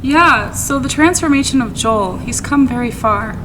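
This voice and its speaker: A woman guest speaks in a slightly higher pitch, teasing the host as she tells stories in easy chat. Clear room noise sits behind her voice. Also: expressive, normal speed. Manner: teasing, storytelling. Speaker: podcast guest, coffee shop staff. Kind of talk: casual chat.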